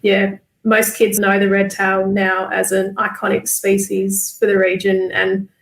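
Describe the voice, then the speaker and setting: A woman's voice: mid-range voice, monotone, measured pace, creaky. An Australian woman chatting casually and informally as an interview guest, in an echoey room. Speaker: guest, bird conservation worker. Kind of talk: casual chat.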